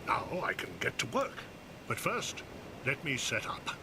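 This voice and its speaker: Curt, surly blacksmith. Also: male voice, mid-range voice, wildly swooping pitch, measured pace, noisy background. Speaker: shopkeeper in a video game.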